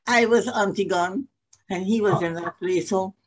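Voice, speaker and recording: A woman's voice: mid-range voice, normal speed. An older woman from Guyana, an alumna, sharing memories in an earnest, composed way on a video call. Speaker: alumna guest. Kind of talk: brief reminiscence on a video call.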